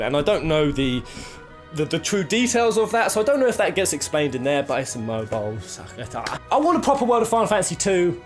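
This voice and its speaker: A man hosting a countdown video with casual, unscripted commentary, talking in an emphatic, jokey, sweary, slangy way. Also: on the high side. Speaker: video host presenting a game countdown. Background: game soundtrack music.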